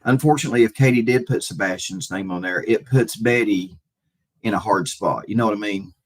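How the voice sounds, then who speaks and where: A man hosting a casual livestream, talking to viewers in a halting, hesitant way with repeated phrases and a husky voice. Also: fairly low pitch.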